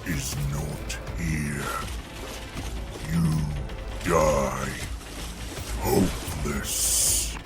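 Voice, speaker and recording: A woman voicing a hulking, hateful male orc that speaks in slow, broken words with a deep guttural hiss. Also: fairly even intonation, exaggerated voice. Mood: menacing. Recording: music bed behind voice.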